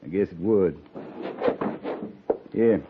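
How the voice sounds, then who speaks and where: A man's voice: sits low, slow pace. A man playing a dry, commanding cavalry captain in a 1950s Western radio drama, talking in a laconic, wry way. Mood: wry, knowing. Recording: muffled, noisy 1950s radio recording.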